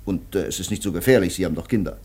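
A man's voice: low voice, rapid-fire, a little mellow, lightly acted. A man playing an officious, jovially pompous railway superior in 1950s German radio drama. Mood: brisk, persuasive. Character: officious male railway superior handing out praise and orders.

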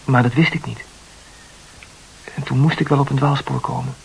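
An actor playing a self-absorbed, intense man in a Dutch radio play, talkative and self-analysing, with halting repetitions. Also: mid-range voice, fairly even intonation, creaky, a little hiss or hum, close to an everyday voice, low-quality recording. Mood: confessional.

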